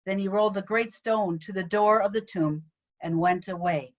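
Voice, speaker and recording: A woman's voice: medium pitch, moderate pace. A woman pastor reading a scripture narrative aloud over a video call. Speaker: Lutheran pastor.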